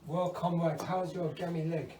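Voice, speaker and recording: A man playing a jovial Soviet soldier in an amateur war role-play, speaking in a theatrical, halting way. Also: a little high, steady tone, natural voice. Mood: comradely. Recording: noisy recording with gunfire sounds.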